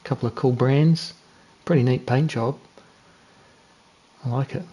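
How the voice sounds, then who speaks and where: A man's voice: mid-range voice, measured pace, warm tone, poor audio. A young man with a New Zealand accent giving laid-back, chatty commentary while streaming a racing game, joking at his own mistakes. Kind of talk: casual gameplay commentary.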